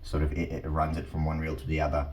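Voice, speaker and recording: Aussie guy, super low voice, explaining stuff casually, kinda halting, echoey room. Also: monotone, darkish tone. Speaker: host of a teardown channel. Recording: roomy, echoing sound.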